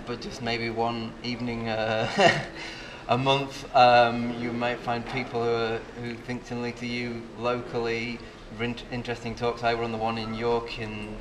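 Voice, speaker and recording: Man from the UK, meetup organiser, enthusiastic but flat-pitched, noisy conference hall. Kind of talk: casual conference testimonial. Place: large hotel hall at a conference.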